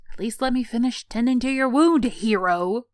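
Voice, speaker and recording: A woman's voice: on the high side, darkish tone, clean tone, no echo, studio quality. A woman playing a sardonic tsundere villain in a roleplay ASMR, teasing and condescending with theatrical sighs and scoffs, yet secretly caring. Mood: exasperated, commanding.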